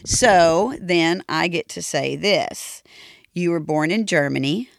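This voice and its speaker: A woman's voice: lowish voice, takes it slowly, gravelly, a little hiss or hum. A woman hosting a podcast in a casual, chatty style. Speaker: podcast host. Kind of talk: casual chat.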